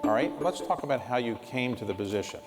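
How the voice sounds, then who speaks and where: A man's voice: medium pitch, lively intonation, fast talker, music in the background. A man hosting an on-stage interview, asking direct, probing questions. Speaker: interview host. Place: large event hall on stage. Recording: long hall reverb.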